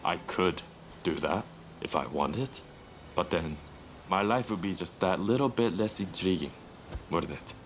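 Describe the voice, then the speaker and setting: A man's voice: deep voice, lively intonation, measured pace, slight background noise. A man playing a roguish, laid-back young revolutionary in a video game cutscene, with a teasing, nonchalant delivery. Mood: cool, wistful.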